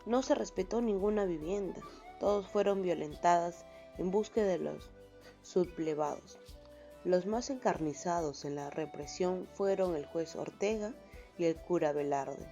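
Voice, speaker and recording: Muffled audio, woman narrating radio story, low voice, faint music. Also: unhurried, dark tone. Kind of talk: scripted narration of a radio novel. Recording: dull lo-fi sound.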